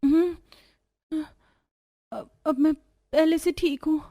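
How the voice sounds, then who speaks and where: A woman voicing a timid, polite and hesitant elder sister-in-law in an animated story. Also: high-pitched, slow pace, pitched above the performer's own voice. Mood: shaken.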